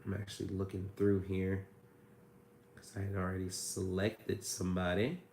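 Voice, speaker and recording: A man with a hoarse, raspy voice from a cold, hosting a casual livestream and answering viewer questions about credit repair. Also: low voice, monotone, moderate pace, darkish tone, roomy sound, a little hiss or hum. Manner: reads viewer comments aloud, chatty and loose.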